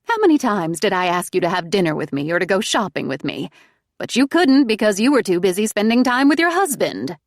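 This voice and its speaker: A woman voicing a manipulative, self-pitying middle-aged mother who speaks in a crooning, wheedling way, raised in pitch and volume above her narration. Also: very animated, brisk, no room sound, clean studio sound.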